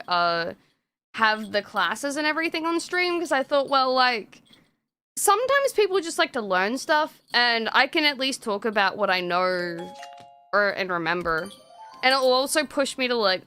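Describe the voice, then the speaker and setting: A woman's voice: mid-range voice, sharp tone, clear, resonant tone. A young woman streamer chatting casually with viewers, swearing freely and laughing often. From Australia.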